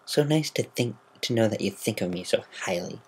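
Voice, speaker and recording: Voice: mid-range voice, animated, a little fast, darkish tone, slightly rough. A reader voicing a teasing, sardonic female griffin who is secretly fond and caring, in a dramatic fan fiction reading.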